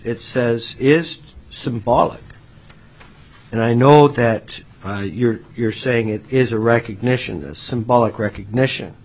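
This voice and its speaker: A legislator at a hearing, a man who questions a witness with frequent restarts and repeated words. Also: mid-range voice. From United States. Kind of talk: formal hearing testimony.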